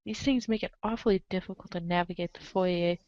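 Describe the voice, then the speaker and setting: A woman with a lowish voice reads a comic script aloud in a theatrical, emphatic way. Her delivery is playfully exasperated. The recording is very dry and slightly dull. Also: fairly even intonation, fairly quick, darkish tone, dry recording. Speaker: reader in a reader's theater show. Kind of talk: animated dramatic reading of a webcomic, in second person.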